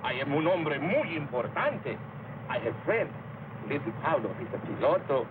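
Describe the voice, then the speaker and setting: An actor playing a genial, self-important Mexican villager with an accent, mixing broken English and Spanish in an old-time radio drama. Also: male voice, fairly high pitch, sing-song, moderate pace. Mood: eager, boastful. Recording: noisy, dull old radio recording.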